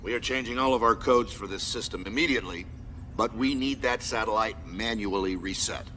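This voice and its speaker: An actor playing a stern, authoritative military commander who gives clipped, formal mission briefings in a video game. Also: male voice, on the low side, a little fast, pushed and bright, in a large hall, slight background noise. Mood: commanding.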